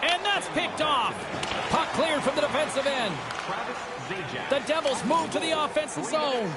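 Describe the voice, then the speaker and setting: A man voicing an excitable hockey play-by-play announcer in a sports video game, calling the action in clipped, punchy phrases. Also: extremely high pitch, measured pace. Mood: brisk, focused. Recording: music and crowd noise behind. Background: loud arena crowd and music.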